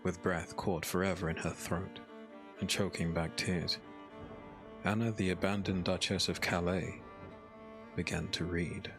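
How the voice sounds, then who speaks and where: A man narrating a dark fantasy audiobook as a scripted story, in a solemn, measured manner. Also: low voice, level tone, music in the background.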